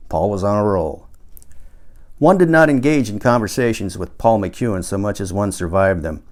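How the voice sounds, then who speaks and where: A man in his sixties narrates a first-person book as its author. His voice sits on the low side, and he reads in the manner of an audiobook. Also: animated, normal speed, a little mellow. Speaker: author reading own book.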